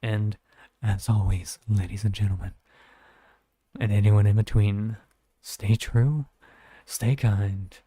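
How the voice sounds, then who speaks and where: A man streaming games from home who talks in a flat, rambling way and often corrects himself. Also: lowish voice, takes it slowly, long pauses, breathy edge, dry recording. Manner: monotone, rambling, self-correcting. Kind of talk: casual stream sign-off chat.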